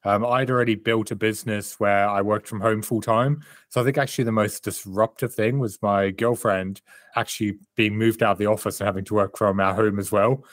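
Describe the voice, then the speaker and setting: Man, low voice, from South Africa, candid and reflective, dry sound. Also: steady tone, a little fast, dry recording. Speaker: guest, performance coach and author. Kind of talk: remote interview answers.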